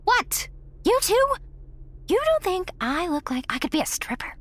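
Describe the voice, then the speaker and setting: A woman's voice: very high-pitched, very animated, unhurried, heavily acted. A woman playing a struggling young aspiring actress in an audio drama, theatrical, prickly and sardonic. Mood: indignant. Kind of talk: naturalistic audio drama dialogue.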